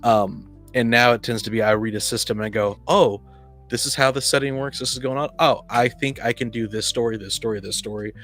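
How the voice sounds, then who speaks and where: A man co-hosting a casual discussion of tabletop games, acting out imagined quotes in an animated way. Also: mid-range voice, a little fast, soft background music. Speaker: podcast co-host and game master.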